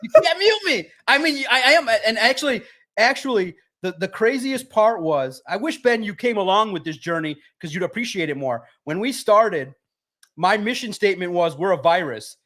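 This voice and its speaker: A man's voice: high voice, animated, moderate pace, a bit bright. A man who co-hosts a sports podcast, talking emphatically and repeating phrases for emphasis as he promotes his work. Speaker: sports writer and podcast co-host. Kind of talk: animated podcast talk.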